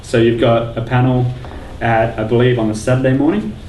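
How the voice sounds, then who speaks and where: A man's voice: mid-range voice, fairly even intonation, a little fast, a little mellow. A man hosting a podcast in a casual, chatty style. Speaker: podcast host. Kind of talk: casual chat. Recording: echoey, distant, faint background noise.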